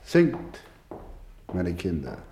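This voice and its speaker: A man playing a weary, resolute orphanage director in a radio drama, speaking solemnly and deliberately, with fatherly gentleness. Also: sits low, huge pitch swings, exaggerated voice. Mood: tender, solemn. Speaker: actor in a radio play. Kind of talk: dramatic German radio play acting.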